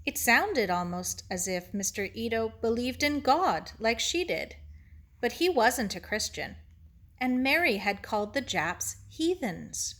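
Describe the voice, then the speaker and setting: A woman's voice: a little high, very animated, measured pace, fairly bright tone. A woman reading a children's novel aloud as its narrator, in scripted storytelling. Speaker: reader.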